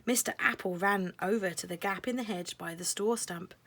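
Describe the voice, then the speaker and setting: A woman narrating a children's storybook in a measured, expressive way, letting phrases end softly. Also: mid-range voice.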